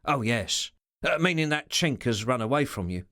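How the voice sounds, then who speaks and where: A sly, boastful henchman with rough, slangy talk and a pitch that swings widely, as a man reading an audiobook puts him on. He feigns innocence and candour. The recording is close and dry. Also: animated, moderate pace, bright, forceful tone, no echo.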